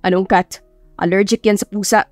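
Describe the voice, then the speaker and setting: A woman's voice: mid-range voice, steady tone, normal speed, a little mellow, no echo, close to an everyday voice. A woman voices a grumbling, dramatic Filipino boss man in an audio romance. He teases and complains in a dry recording over faint music. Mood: exasperated, teasing. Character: grumpy, teasing adult male boss, complaining dramatically.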